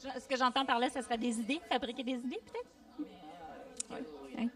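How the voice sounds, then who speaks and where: A woman's voice: high voice, normal speed, recorded from a distance. Hesitant woman thinking aloud. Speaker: vox pop participant. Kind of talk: casual street interview. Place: community workshop space indoors. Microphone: handheld mic.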